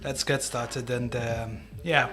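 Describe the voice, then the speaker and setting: A man's voice: medium pitch, fairly even intonation, slow, music in the background. A man with an Algerian accent answering interview questions in a conversational way, like a teacher explaining himself. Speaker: English teacher, podcast guest.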